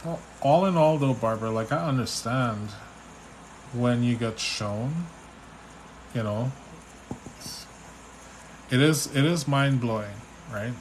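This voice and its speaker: A man from Trinidad with a Trinidadian accent, a spiritual reader on a live stream, relaxed and chatty as he reads out viewers' questions. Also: mid-range voice, slow pace, long pauses, a little hiss or hum, rough recording. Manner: relaxed, chatty, reads names and questions aloud, restarts often. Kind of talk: live stream reading, answering chat questions.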